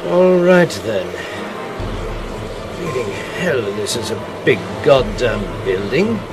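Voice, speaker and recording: An actor playing a brash, vengeful pilot in a steampunk audio drama, cursing defiantly. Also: male voice, mid-range voice, very animated, takes it slowly, loud music behind the voice.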